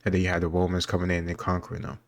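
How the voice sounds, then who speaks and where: A deep-voiced man talking casually and thinking out loud as he goes. His delivery stays very even and he hedges his points as he rambles. The recording is close and dry. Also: very fast, no room sound. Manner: rambling, musing, self-qualifying. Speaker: host reacting to quiz questions. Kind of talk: casual commentary on history trivia.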